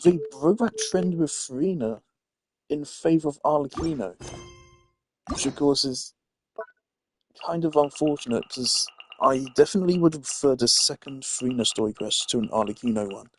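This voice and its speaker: A man's voice: medium pitch, moderate pace, a little hiss or hum, low-quality recording. A man giving casual, rambling gaming commentary, thinking aloud as a streamer. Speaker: game streamer.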